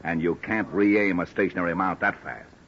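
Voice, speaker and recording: A man's voice: low voice, steady tone, moderate pace, a bit bright. An American man playing a plain-spoken, weary police sergeant in an old-time radio drama, folksy and matter-of-fact. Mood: puzzled, businesslike. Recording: dull, faintly noisy old radio recording.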